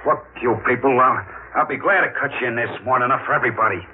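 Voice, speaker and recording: A man's voice: medium pitch, racing, background noise, lightly acted. A man playing a nervous treasure hunter pleading for his life in 1950s radio style. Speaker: supporting actor in radio drama. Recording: muffled old radio broadcast.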